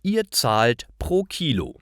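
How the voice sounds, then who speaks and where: A man voicing a matter-of-fact old woman who runs a farm, clear and instructive, in a storybook for learners. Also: medium pitch, huge pitch swings, slow pace, fairly bright tone, no room sound, clean studio sound, heavily acted. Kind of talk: storybook reading for language learners.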